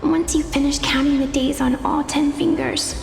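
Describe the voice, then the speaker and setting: An actress voicing a wistful, hesitant small child in a video game, forlorn and waiting. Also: female voice, sits high, flat delivery, creaky. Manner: hesitant, counting slowly. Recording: long echoing reverb, loud music.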